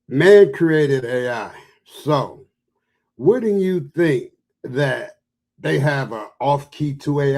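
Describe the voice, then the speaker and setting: Male panelist, gravelly voice; emphatic, rambling, presses to be heard. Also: medium pitch, a little mellow. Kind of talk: casual panel discussion.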